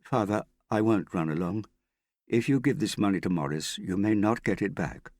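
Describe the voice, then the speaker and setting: A man's voice: on the low side, moderate pace, a little mellow, no room sound, pitched above the performer's own voice. A man voicing an earnest, worried and stubborn young woman in an audiobook, serious and insistent in manner. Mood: unhappy, stubborn. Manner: serious, plain-spoken, insistent. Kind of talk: audiobook character dialogue.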